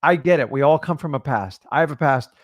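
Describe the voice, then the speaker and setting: A man's voice: on the high side, lively intonation, fairly quick, no room sound. A man co-hosting a real estate talk, bluntly lecturing viewers to drop their excuses. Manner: blunt, preachy, no-nonsense. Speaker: real estate wholesaling co-host.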